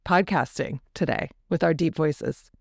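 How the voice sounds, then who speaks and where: A woman with a cold and a deep, throaty voice, chatting casually as a podcast co-host and mother. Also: animated, a little slow, gravelly, no room sound, clean studio sound. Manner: chatty, rambling, filler-heavy. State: husky from a cold. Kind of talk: casual chat.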